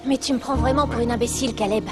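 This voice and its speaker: A woman playing a guarded teen horse trainer, high voice. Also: fairly even intonation, fast, over music, natural voice. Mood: curt. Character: teenage girl horse trainer, gentle but guarded.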